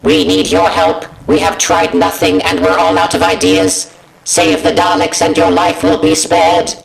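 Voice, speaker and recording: A man voicing a bossy, exasperated alien war machine in a toy parody, with a croaking voice and commanding, staccato delivery. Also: deep voice, big pitch swings, unhurried, a crowd in the background, full character voice. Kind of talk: fan parody voice acting. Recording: echoing room sound.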